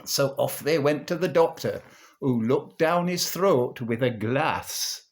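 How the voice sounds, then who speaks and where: A man reciting a comic verse monologue in a theatrical, measured storytelling manner. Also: medium pitch. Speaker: monologue performer.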